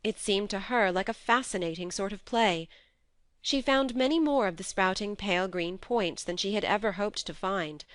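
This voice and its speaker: A woman reading a classic novel aloud as a steady, measured audiobook narrator on a close mic. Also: medium pitch, no room sound.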